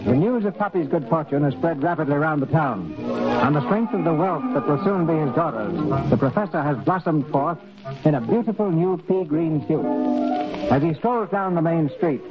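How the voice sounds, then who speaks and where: A man's voice: on the high side, animated, moderate pace. A man with an American accent announcing and narrating from a script in 1930s radio style. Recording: dull 1930s radio broadcast, loud music behind.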